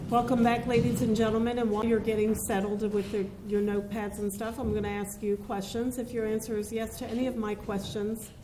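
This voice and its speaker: A woman's voice: fairly high pitch, flat delivery, moderate pace. A woman judge in a courtroom, formally and methodically putting set questions to the jury. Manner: formal, methodical instructions to jurors. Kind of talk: courtroom proceedings. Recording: echoey courtroom, faint hiss.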